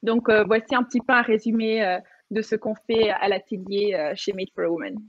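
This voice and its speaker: A woman's voice: medium pitch, normal speed, no echo. A young woman from Madagascar, a panel guest speaking on a video call, hesitant with many fillers as she searches for words. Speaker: panel guest, social entrepreneur. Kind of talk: online panel talk. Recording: dull lo-fi sound.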